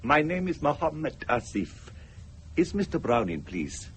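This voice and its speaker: An actor playing a mysterious male fortune teller in a radio drama, formal, measured and probing, with a husky voice. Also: on the high side, big pitch swings, a little hiss or hum. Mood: polite, ominous.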